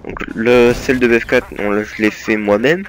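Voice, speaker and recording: A man's voice: medium pitch, steady tone, moderate pace, slight background noise, low-quality recording. A young man with a slight cold, giving a hesitant, filler-heavy voice-over that presents his gaming team. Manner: hesitant, many fillers and restarts. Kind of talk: casual voice-over over gameplay.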